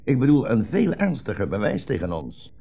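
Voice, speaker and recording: An actor playing a mild, patient old blind rabbi in a 1960s radio play, speaking politely and with measured precision. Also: male voice, mid-range voice, wildly swooping pitch, creaky, breathy edge, heavily acted. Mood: calmly insistent. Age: older. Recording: muffled old radio recording, narrow band.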